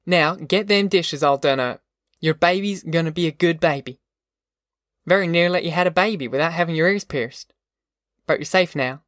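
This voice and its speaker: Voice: mid-range voice, moderate pace, fairly bright tone, no echo, studio quality, pitched above the performer's own voice, close to an everyday voice. A reader voicing a firm, reassuring country mother with matter-of-fact rural dialect in an audiobook story. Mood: firm, satisfied.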